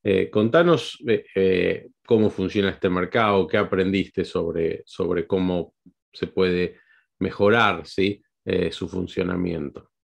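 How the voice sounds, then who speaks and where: A man with an Argentine accent hosting an interview, halting with frequent fillers and tag questions. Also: deep voice, steady tone, vocal fry. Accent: Argentine Spanish. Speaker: host.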